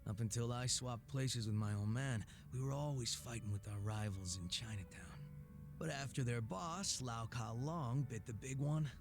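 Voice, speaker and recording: An actor playing a cocky, laid-back former gang boss in a video game, teasing and sardonic with a languid drawl and casual swearing. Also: male voice, medium pitch, normal speed, over music, lightly acted. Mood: jaded. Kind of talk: English video game voice acting.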